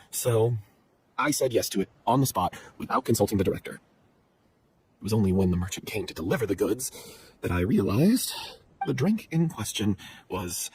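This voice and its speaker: Game role: remorseful, timid troupe man, earnest delivery with wide pitch movement, some background noise. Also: rough recording. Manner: earnest, hesitant confessing. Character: guilt-ridden, cowardly male theatre troupe member. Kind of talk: dramatic video game acting.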